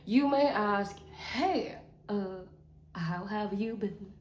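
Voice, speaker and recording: A woman rambles through a casual vlog on her camera mic, slow and drawn out. She is playful and self-mocking and drifts into sing-song bits. The bedroom around her sounds echoey. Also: medium pitch, lively intonation, music in the background. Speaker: vlogger.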